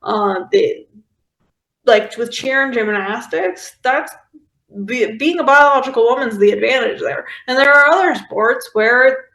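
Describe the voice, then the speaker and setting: A woman in casual discussion, explaining her view haltingly with frequent filler words and restarts. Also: fairly high pitch, fairly bright tone. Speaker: podcast guest. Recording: roomy, echoing sound.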